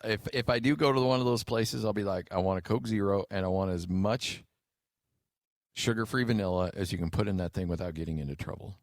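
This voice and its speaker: A man's voice: on the low side, brisk, no echo, clean studio sound. An American man co-hosting sports talk radio with casual, informal, conversational banter.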